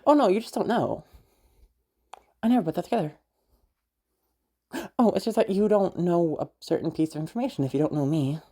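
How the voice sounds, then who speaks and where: A woman playing a friendly young woman with a hidden obsessive side, in roleplay audio addressed to the listener, with a husky, hesitant delivery. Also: on the low side, fairly quick, pauses often, mellow, natural voice. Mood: awkward, coy.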